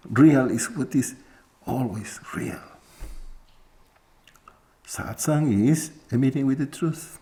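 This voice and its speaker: Slow, low-voiced man teaching softly. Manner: slow, reflective, with pauses and soft laughs. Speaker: spiritual teacher. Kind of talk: spiritual talk to an audience.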